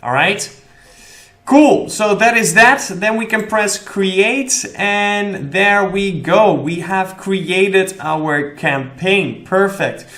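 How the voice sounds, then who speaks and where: A man's voice: sits high, extremely slow, a bit bright. A young man walking through ad campaign settings in a screen-recorded tutorial, explaining each option step by step with frequent "okay" check-ins. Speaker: affiliate marketing instructor. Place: indoor room at a desk.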